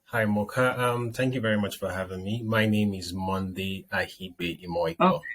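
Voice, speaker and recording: Low-voiced Nigerian man in a noisy recording. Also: expressive, normal speed, fairly bright tone. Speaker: interview guest. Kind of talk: casual interview answers.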